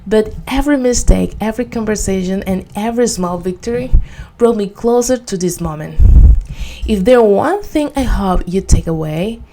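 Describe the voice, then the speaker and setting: A woman from Venezuela with a Spanish accent gives a prepared motivational presentation in earnest, deliberate non-native English. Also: medium pitch, expressive, dark tone, a little hiss or hum, low-quality recording. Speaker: student giving a personal speech.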